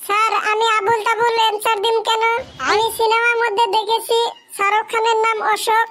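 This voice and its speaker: A woman's voice: extremely high pitch, flat delivery, brisk, heavy background noise. A woman voicing a silly, earnest and confidently naive schoolboy in a comedy cartoon. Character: silly, confident schoolboy pupil giving wrong answers.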